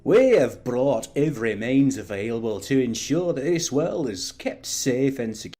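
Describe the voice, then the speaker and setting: A sinister alien diplomat, acted by a man for a sci-fi audio drama. His voice is husky and very animated in pitch. He stays courteous and condescending, dressing each threat as kindly concern. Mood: polite menace. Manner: courteous, measured, veiled threats. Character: polite, smugly menacing male alien diplomat, condescending.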